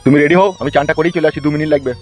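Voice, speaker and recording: A man's voice: a little high, fairly even intonation, racing, loud music behind the voice, natural voice. A man voicing a flustered, stuttering village father-in-law in a comedy cartoon. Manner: stuttering, alarmed.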